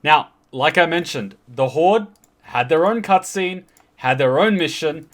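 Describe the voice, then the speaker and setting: A man's voice: on the high side, lively intonation, measured pace. A man chatting casually as a reaction host, emphatically explaining and rephrasing his points. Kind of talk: casual commentary and chat.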